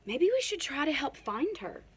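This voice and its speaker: An excitable, worried school kid from a storybook, as a woman reader puts it on, high and bright. The pitch leaps about eagerly. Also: moderate pace, a little hiss or hum.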